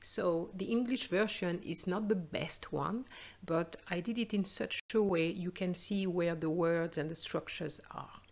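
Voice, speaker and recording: A woman teacher reading and presenting in a slow, expressive storytelling style for language learners. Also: lowish voice, vocal fry.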